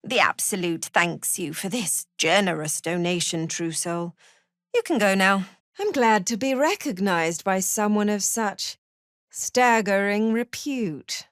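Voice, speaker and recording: A woman playing a cruel, zealous cult prison warden in a fantasy video game, haughty and sardonic, with measured, threatening delivery. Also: mid-range voice, lively intonation, fairly bright tone, no echo, studio quality. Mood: sardonic contempt. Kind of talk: video game character voice acting.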